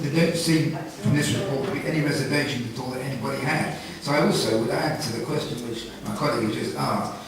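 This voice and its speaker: A British man making a formal debate speech as a councillor, halting and repeating phrases as he goes. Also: medium pitch, fairly bright tone. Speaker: councillor at a committee meeting. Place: town hall committee room. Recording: echoey hall, distant mic, audience murmur.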